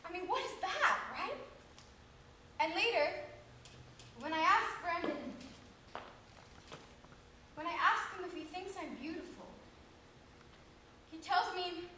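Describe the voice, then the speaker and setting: Woman doing a flustered young gal babbling about her guy, super high bright voice, big echoey room. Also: a little hiss or hum, exaggerated voice. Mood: flustered rambling. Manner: rambling, halting, laughing at herself. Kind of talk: comic stage monologue.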